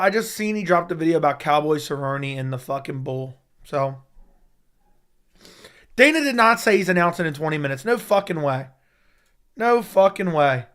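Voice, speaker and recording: A young man hosting a casual fight-sports livestream chat, hoarse from losing his voice, excitable and quick to swear as he reacts to news. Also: a little high. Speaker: MMA YouTube host.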